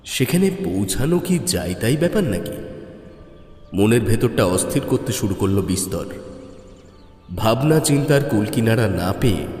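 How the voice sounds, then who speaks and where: Male horror-story narrator, expressive and measured, animated pitch, long reverb with music beneath. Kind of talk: dramatic first-person horror story narration. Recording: echoey reverb, music underneath.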